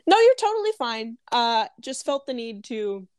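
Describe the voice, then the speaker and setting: A woman's voice: fairly high pitch, very animated, normal speed, darkish tone, no room sound, studio quality. Chatty giggly woman tarot reader. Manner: chatty, giggly, self-conscious. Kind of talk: casual live audio chat.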